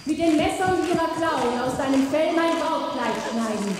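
An actress voicing a vengeful woman who slowly declaims grim curses against her faithless lover. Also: high-pitched, animated. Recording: distant voice in echoey hall with noise.

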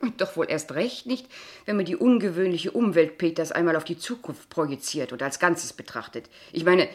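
An actress playing an earnest, overprotective kindergarten teacher in a radio drama, speaking formally, precisely and insistently. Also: female voice, fairly low pitch, normal speed, lightly acted. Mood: persuasive, worried. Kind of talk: dialogue acting in a German radio drama.

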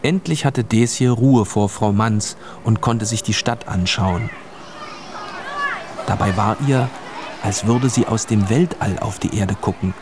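Low-voiced male storytelling narrator. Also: slow, background noise. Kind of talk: scripted story narration for a children's radio play.

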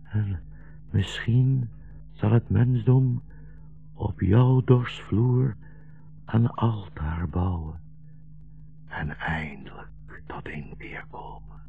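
An old blind rabbi in a 1960s radio play, dying and visionary. His low voice is full of gravel and moves slowly, with gentle, fatherly solemnity. The old broadcast recording is muffled and narrow. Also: faint music behind, heavily acted. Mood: tender, fading. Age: older. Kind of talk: 1960s radio drama acting.

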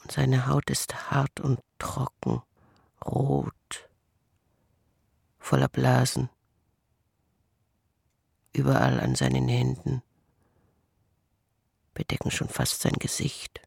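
A haunted, husky, creaking twin brother. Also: male voice, mid-range voice, little pitch movement, no room sound, clean studio sound, exaggerated voice. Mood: uneasy, hushed. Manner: hushed, halting, deliberate. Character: haunted, doubting twin brother recounting a dark childhood. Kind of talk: intimate radio drama monologue.

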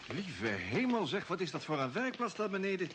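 A man's voice: sits high, moderate pace. A man acting a nervous, curious, chatty spaceship crewman in a radio drama. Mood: amazed. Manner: excitable, stammering. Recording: faintly noisy old radio recording.

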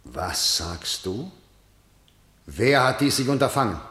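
Stern commanding tyrant in a verse radio play, slow and bright-toned, in a reverberant hall. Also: male voice, medium pitch, big pitch swings. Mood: threatening. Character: harsh, stern male tyrant ruling a city. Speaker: ruler of the city. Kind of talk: classical verse radio drama.

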